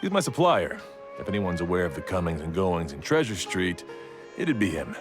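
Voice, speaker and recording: A man's voice: deep voice, sing-song, moderate pace, over music. A man voicing a busy, helpful blacksmith in a video game. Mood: matter-of-fact, helpful. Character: busy, helpful male blacksmith with gruff candour. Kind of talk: scripted game dialogue.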